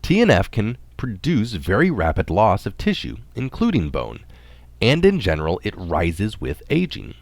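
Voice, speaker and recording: A man reading a scripted health article aloud as narration, in a deliberate, explanatory manner. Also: lowish voice, wildly swooping pitch, vocal fry, no room sound.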